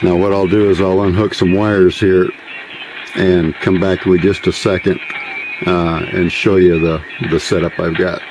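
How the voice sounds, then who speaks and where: A man giving a casual hands-on demonstration of homemade gear in an unhurried, halting, explanatory way. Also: low-pitched, level tone. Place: small indoor workbench by a window. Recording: faint background noise.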